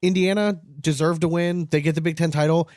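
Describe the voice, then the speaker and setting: A man's voice: fairly high pitch, fairly even intonation, a little fast, a little mellow, no room sound, clean studio sound. A man co-hosting a casual sports talk show, ranting with strong opinions and swearing freely. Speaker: podcast co-host, former team coach.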